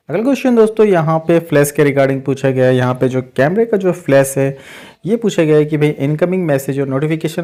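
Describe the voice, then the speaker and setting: Indian male tech host, slightly high pitch, soft mellow tone, conversational explainer delivery aimed at viewers. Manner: conversational, addresses listeners as friends.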